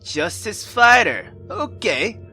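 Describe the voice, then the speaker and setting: Bright, swooping, rambling gaming host. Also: medium pitch, sing-song, slow pace, soft background music. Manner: hesitant, rambling, sweary. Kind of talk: casual let's play commentary with reading aloud.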